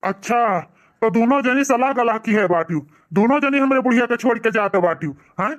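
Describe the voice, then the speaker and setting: A man's voice: very high voice, lively intonation, moderate pace, fairly bright tone. A man voicing a grumbling but concerned old village husband in a cartoon comedy, scolding and questioning, with an eastern Uttar Pradesh accent. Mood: worried. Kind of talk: cartoon comedy dialogue in rural dialect.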